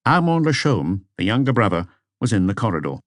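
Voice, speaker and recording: A man's voice: lowish voice, sing-song, brisk, no echo, clean studio sound. A man reading a mystery novel as scripted audiobook narration.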